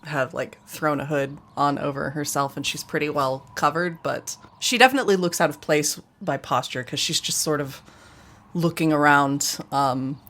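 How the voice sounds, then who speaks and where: Low-voiced woman, casual game player. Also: fairly bright tone. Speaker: player in a role-playing game. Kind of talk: casual description of her character.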